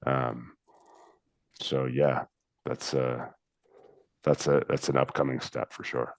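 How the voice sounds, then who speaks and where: An American man talking casually on a video call about his distillery, relaxed and halting with many fillers. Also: very deep voice, no room sound. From United States. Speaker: distillery representative.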